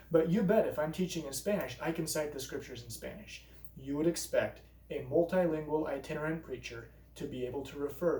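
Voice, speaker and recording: A man presents a scripted explanatory video essay, working calmly and methodically through each objection. His mid-range voice sits a little back from the microphone. The room adds a noticeable echo around him. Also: medium pitch, recorded from a distance. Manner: measured, reasoning step by step through objections. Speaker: preacher and channel presenter. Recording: roomy echo, voice a bit off-mic.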